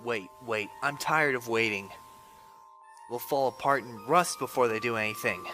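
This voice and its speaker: A man's voice: mid-range voice, normal speed, over music, natural voice. A man playing an earnest, impatient robot scout in a comic dub, pleading in manner. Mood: impatient, wistful.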